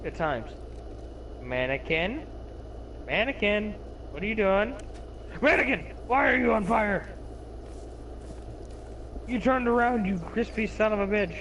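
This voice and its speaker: A man with a slight lisp talks over his game in a high, bouncing voice that leaps around as he yelps and curses. Game noise runs clearly under him on the voice call. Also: unhurried, long pauses, low-quality recording. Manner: excitable, yelping and cursing. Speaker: gamer. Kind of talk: excited gameplay chat.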